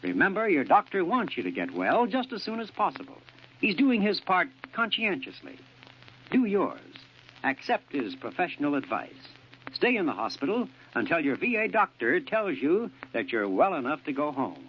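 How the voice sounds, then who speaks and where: A man's voice: on the high side, wildly swooping pitch, moderate pace. A man announcer giving an earnest, persuasive public service message in 1940s radio style. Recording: muffled, faintly noisy old radio recording.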